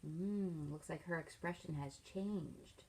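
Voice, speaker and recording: A middle-aged woman, a teacher reading a picture book aloud to children, expressively and with pauses to ask them leading questions. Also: low voice, a little mellow, slight background noise, rough recording. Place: small furnished room at home, indoors.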